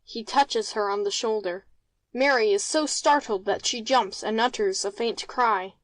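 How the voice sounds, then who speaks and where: High-pitched woman reading stage directions. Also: measured pace, dry recording, rough recording. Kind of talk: scripted narration of stage directions.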